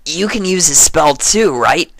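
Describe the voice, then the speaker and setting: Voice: medium pitch, animated, a little slow, a bit bright, no echo, voice pushed up. A storyteller voicing a brash, impatient, tomboyish young female pony in a blunt, punchy manner.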